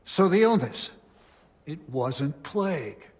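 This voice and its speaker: Solemn, devout medieval abbot. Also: male voice, fairly high pitch, sing-song, slow. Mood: shocked. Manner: solemn, pious, formal. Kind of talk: dramatic video game cutscene acting.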